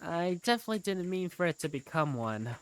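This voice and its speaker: A woman's voice: on the low side, sing-song, a little fast, clear, resonant tone, dry recording. A woman voicing a sheepish, apologetic young student in a hesitant, awkward way for a fan dub of a visual novel game. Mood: awkward, defensive.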